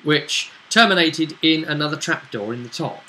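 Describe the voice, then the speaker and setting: A man's voice: fairly high pitch, lively intonation, moderate pace, pushed and bright, rough recording. A man acting as game master, casually recapping a tabletop role-play session and checking in with his player as he goes. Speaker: game master and host of the vidcast. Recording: faint background noise.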